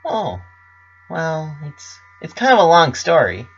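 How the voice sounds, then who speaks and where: A man's voice: fairly high pitch, wildly swooping pitch, slow pace, breathy edge, muffled recording, voice pushed up, exaggerated voice. A man voicing a cheerful, doting young female cartoon pony with a bubbly, affectionate manner.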